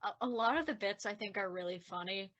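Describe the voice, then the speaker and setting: Bright-voiced, talkative woman. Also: mid-range voice, fast talker, lo-fi sound. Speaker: podcast scriptwriter. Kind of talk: casual behind-the-scenes commentary.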